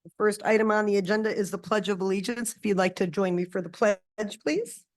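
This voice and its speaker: A woman chairing a township board meeting in a meeting room, running the agenda in a brisk, friendly, procedural way. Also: mid-range voice.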